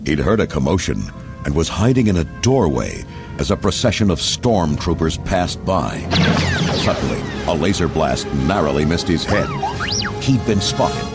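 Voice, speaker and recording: A man's voice: sits low, sing-song, normal speed. A man reading scripted, dramatic narration for a children's readalong storybook. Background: orchestral music.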